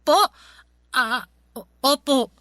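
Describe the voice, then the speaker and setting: A dutiful high school girl in a radio drama. Her voice is high and bright, with a polite, hesitant, pleading way of speaking. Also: drawn-out words, dry recording, studio quality, exaggerated voice. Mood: nervous. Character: timid, dutiful teenage high school daughter, hurt. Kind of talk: Filipino radio drama acting.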